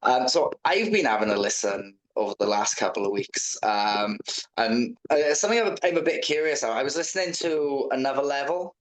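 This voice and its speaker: A man doing a casual interview, speaking in a halting, informal way. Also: mid-range voice, low-quality recording.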